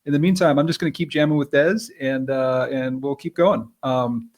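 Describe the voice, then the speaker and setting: A man's voice: medium pitch, moderate pace, a little mellow. A man hosting a live interview session in an informal, chatty way. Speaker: interview host.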